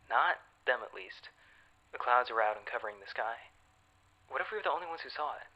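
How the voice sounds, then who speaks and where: Male actor as inquisitive astronomer, excitable, insistent, sharp forceful bright voice, audio drama. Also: medium pitch, fairly quick, highs cut off. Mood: businesslike. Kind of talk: modern audio drama acting.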